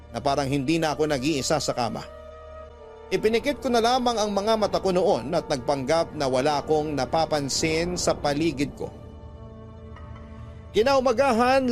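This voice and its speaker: A man's voice: on the high side, close to an everyday voice. An actor playing a troubled young man who recounts his story reflectively, as a letter in a Filipino radio drama. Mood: uneasy, then weary. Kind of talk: first-person dramatic narration of a radio letter.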